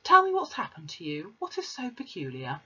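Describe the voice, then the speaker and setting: A woman playing a gentle kindly teacher. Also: medium pitch, wildly swooping pitch, moderate pace, husky, exaggerated voice. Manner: soft and patient. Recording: slightly muffled recording.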